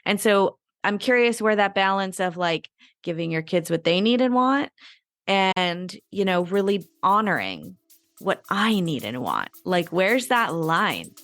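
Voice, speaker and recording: A woman's voice: mid-range voice, lively intonation, measured pace, fairly bright tone, dry recording, clean studio sound, soft background music. A young woman hosting a podcast interview, thinking aloud and searching for words with "you know" and "like". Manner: reflective, searching for words with fillers. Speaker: podcast host. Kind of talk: casual interview talk.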